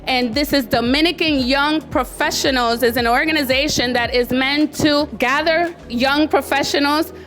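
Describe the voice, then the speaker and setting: Woman, panel moderator, high voice, formal introductions, faint music. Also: fairly even intonation, moderate pace, few pauses, a bit bright. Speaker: moderator of a professionals' group panel. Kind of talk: event hosting. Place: restaurant event space, indoors.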